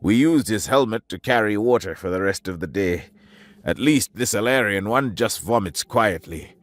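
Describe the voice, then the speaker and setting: A weary army field surgeon, voiced by a male narrator in an audiobook, speaking in a low, flat, cold voice that sharpens into a vicious hiss when provoked. The voice is fairly deep. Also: moderate pace, dry recording, natural voice. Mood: dry, weary.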